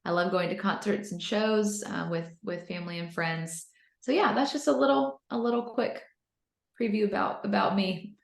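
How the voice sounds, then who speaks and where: A woman's voice: medium pitch, moderate pace, vocal fry. An American woman in her thirties, upbeat and enthusiastic, answering interview questions conversationally, with a roomy, echoey sound. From North Carolina, United States. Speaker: interview guest, business founder.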